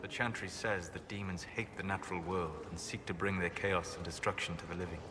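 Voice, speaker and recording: An actor playing a calm, scholarly elven mage in a fantasy game, speaking in a measured, formal, lecturing manner. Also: male voice, deep voice, very noisy, close to an everyday voice. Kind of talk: scripted fantasy game dialogue.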